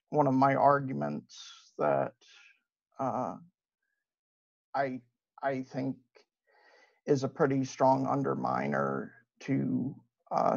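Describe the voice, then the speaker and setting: A man's voice: mid-range voice, gravelly, darkish tone. A man from Ohio with a Midwestern accent, giving a hesitant debate opening full of fillers and restarts. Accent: Midwestern American. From Ohio, USA. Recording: dull lo-fi mic.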